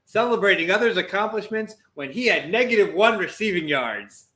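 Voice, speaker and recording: A man with a high, bright voice chiming into the chat with dry wisecracks. He pokes fun at the others in short bursts. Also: expressive, a little fast, echoey room. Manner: wisecracking interjections. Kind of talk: casual sports chat.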